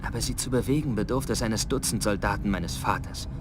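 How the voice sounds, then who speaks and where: Man acting proud young prince, low storyteller voice. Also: natural voice. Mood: solemn, covetous. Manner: measured, solemn, literary. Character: young Persian prince narrating his tale, proud, wistful. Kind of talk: dramatic scripted storytelling narration. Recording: game audio with clear background noise.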